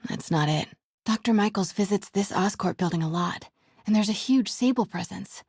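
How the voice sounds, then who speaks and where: A woman playing a wry, curious, quick-witted young woman in a video game, muttering to herself as she sneaks around, with a breathy voice. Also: medium pitch, no room sound, studio quality. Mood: curious, focused. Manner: wry, hushed self-talk.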